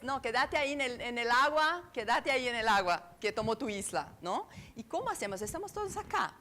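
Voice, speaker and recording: A woman's voice: high voice, fairly bright tone. A woman with an Argentine Spanish accent giving a conference talk, searching for her words with many fillers and restarts and checking in with the audience. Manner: asks the room for agreement with tag questions. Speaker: conference speaker on astrology. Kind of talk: lecture to a live audience.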